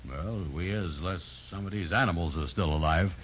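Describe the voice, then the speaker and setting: An actor playing a simple, good-natured sidekick with dry, folksy, ungrammatical asides in a 1950s radio comedy. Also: male voice, sits low, brisk, a bit bright. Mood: skeptical. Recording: very noisy, muffled old radio broadcast.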